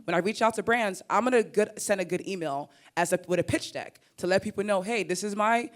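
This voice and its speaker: A woman's voice: medium pitch, brisk. A young woman with a Nigerian-American accent, talking animatedly as a creator on a summit panel stage. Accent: Nigerian mixed with American. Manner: animated and expressive, laughs and repeats words. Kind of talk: conference panel talk.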